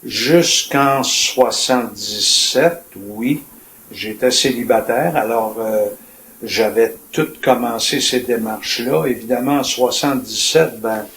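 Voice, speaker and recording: An older man in his seventies from Quebec, with a Quebec French accent, telling his life story in a reflective, colloquial, measured way as a retired police officer. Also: mid-range voice, fairly bright tone. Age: 70s. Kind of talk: personal storytelling in an interview. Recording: echoey room with faint noise.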